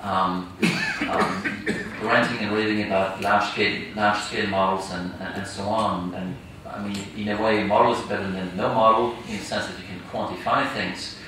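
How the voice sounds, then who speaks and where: Male panelist, low voice, halting with fillers, distant mic in an echoey noisy hall. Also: steady tone, pushed and bright. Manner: hesitant, many fillers and restarts. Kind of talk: conference panel discussion. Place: large conference hall.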